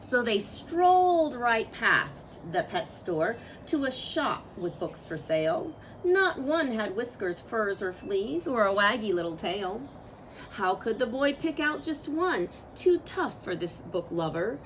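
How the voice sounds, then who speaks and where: A woman with a fairly high voice reads a children's story aloud. Her phrasing is expressive and measured, as a storyteller's. There is some noise in the recording. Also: poor audio. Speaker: storyteller reading a picture book aloud.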